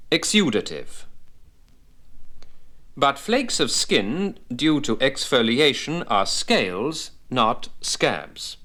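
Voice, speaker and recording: Slow, lively-pitched male language instructor. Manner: deliberate, didactic enunciation with terms set apart. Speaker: medical English instructor. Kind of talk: scripted vocabulary lesson narration with repeat-after-me prompts.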